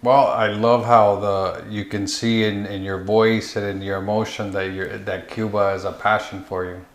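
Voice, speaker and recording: A man's voice: lowish voice, flat delivery, normal speed. A man, a pastor hosting the show, asking short encouraging follow-up questions in a casual interview. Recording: roomy echo with faint music.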